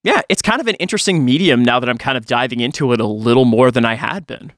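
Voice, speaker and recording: A man co-hosting a podcast, talking casually in an animated, slangy way. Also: mid-range voice, fast talker, no room sound, clean studio sound. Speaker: podcast co-host.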